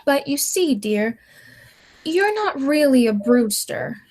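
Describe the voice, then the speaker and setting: Woman acting prim elderly aunt, high voice, motherly, fussy, radio drama. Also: sing-song, slow pace, a little mellow, full character voice. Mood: soothing.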